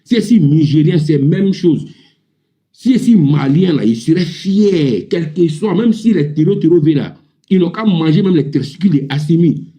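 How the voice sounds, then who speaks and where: Ivorian male, heated panel debater, somewhat high-pitched yet very mellow-toned, insistent, repetitive, informal delivery. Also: animated, normal speed. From Ivory Coast. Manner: indignant, emphatic, repetitive. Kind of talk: heated informal political rant on a live audio panel.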